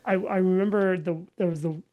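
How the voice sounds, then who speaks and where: A man's voice: high-pitched, fairly even intonation, a little fast, phone-quality sound. A man chatting as a podcast guest. He talks in a light, chatty way and laughs easily. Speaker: podcast guest, event volunteer. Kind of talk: casual podcast chat.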